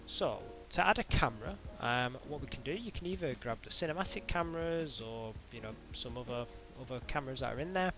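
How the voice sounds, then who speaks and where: A man narrating an informal software tutorial in a hesitant, halting way, as if thinking aloud. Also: medium pitch, animated, music in the background. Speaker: tutorial instructor.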